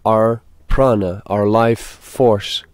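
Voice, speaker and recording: A man leading a guided meditation, speaking in a deliberate, halting way with pauses. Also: lowish voice, steady tone, no echo.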